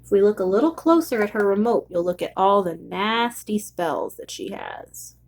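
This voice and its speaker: A woman reading a children's storybook aloud in an expressive storytelling style. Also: medium pitch, normal speed. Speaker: storybook reader.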